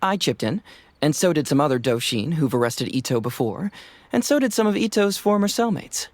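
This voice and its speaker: A man's voice: on the high side, sing-song, fairly quick, darkish tone, no room sound. A man playing a smug, playful young detective in a game, breezy, teasing and self-assured. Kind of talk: animated game dialogue.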